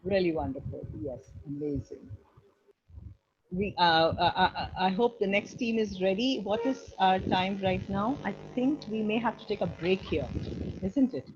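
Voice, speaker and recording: A woman's voice: medium pitch, animated, normal speed, slightly rough. A woman teacher with an Indian accent giving effusive feedback in an online class, repeating phrases for emphasis. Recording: slightly dull online call audio.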